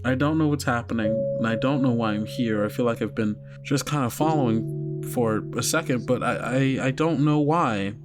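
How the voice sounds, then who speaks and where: A man's voice: medium pitch, moderate pace, loud music behind the voice, close to an everyday voice. A man voicing an anxious, unsure young girl who speaks in hesitant, faltering confessions. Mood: worried.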